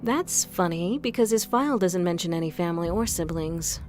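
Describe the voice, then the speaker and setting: A woman's voice: on the low side, lively intonation, normal speed, darkish tone, faint music behind, natural voice. A woman playing a composed, inquisitive police inspector in an audio crime drama. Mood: probing.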